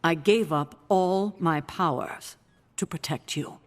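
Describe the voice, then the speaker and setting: An actress playing a weary, proud, self-sacrificing woman mentor in a video game, earnest and measured. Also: deep voice, in a large hall. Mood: grave. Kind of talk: dramatic video game dialogue.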